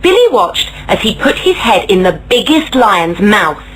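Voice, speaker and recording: A woman storyteller narrating a scripted children's story in a deliberate, expressive read-aloud manner. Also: mid-range voice, slight background noise, poor audio.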